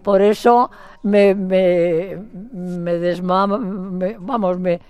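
A woman's voice: on the low side, fairly even intonation, extremely slow, darkish tone, clean tone. An older woman from Spain giving a chatty, playful interview, rambling and restarting her sentences often. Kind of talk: casual phone interview.

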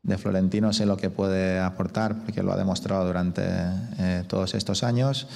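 Gravelly, hesitant man from afar. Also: low voice, level tone, a little mellow, in a large hall. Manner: hesitant, measured. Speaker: interviewee.

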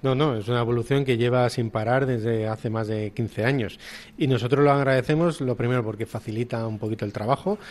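A man's voice: mid-range voice, fairly even intonation, fairly quick, a little mellow. A man, a chef answering interview questions and searching a little for his words. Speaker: professional chef, guest.

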